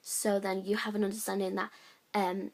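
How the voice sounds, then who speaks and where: A young drama student talks through her audition choices, stopping and restarting with lots of fillers. Her voice sits in a middle pitch but moves very little, with a gravelly edge. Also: little pitch movement. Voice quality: high-pitched voice, by her own account. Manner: halting, with many "like" and "um" fillers. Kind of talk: reflective spoken account.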